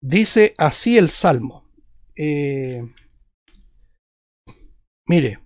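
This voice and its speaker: High-pitched Chilean male preacher. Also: lively intonation, drawn-out words, darkish tone. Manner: didactic, emphatic, repeats phrases and asks rhetorical questions. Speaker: evangelical preacher. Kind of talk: sermon, Bible teaching.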